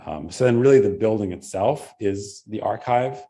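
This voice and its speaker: A man with a very low, mellow voice reads out a prepared paper on art and architecture. In the answers that follow he speaks more loosely, with fillers and self-corrections. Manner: measured, with frequent fillers and restarts in answers. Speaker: symposium presenter. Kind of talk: academic paper presentation and Q&A.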